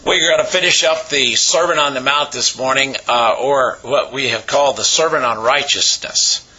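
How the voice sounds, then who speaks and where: Hoarse, raspy-voiced man preaching. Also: medium pitch, sharp tone, dull audio. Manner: halting, with many pauses and uh fillers. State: hoarse from a cold. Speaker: pastor. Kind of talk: sermon.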